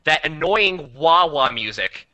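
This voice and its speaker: A man's voice: on the high side, expressive, measured pace, pushed and bright, dull audio. A man in a casual, opinionated podcast discussion, speaking in an exasperated, emphatic and mocking way. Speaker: podcast panelist.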